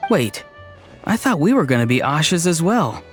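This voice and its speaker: An actor playing a teasing, mischievous young man in a video game, delivering lines with deadpan, mock-grand seriousness. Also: fairly high pitch, moderate pace. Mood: playful. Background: faint game background music.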